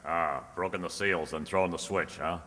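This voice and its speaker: A man playing a confident, heroic superhero in a radio drama, calm, commanding and thinking aloud. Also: low voice, moderate pace. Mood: brisk, assured. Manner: self-assured, narrating own actions aloud. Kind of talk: old-time radio drama acting. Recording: reverberant recording with clear background noise.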